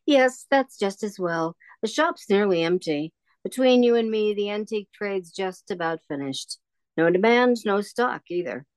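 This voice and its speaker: A woman's voice: medium pitch, animated, unhurried, no echo, lightly acted. A woman playing a genial, wistful older shopkeeper in an audio drama, chatty and nostalgic.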